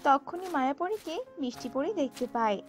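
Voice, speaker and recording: A woman's voice: high-pitched, lively intonation, normal speed, faint music behind. A woman narrator reading a scripted storybook tale for a cartoon.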